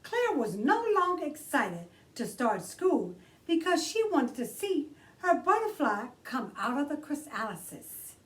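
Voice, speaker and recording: A woman hosting a children's storytime, reading aloud expressively and deliberately, drawing out key words for emphasis. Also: sits high, big pitch swings, distant mic. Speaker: children's storytime host and reader.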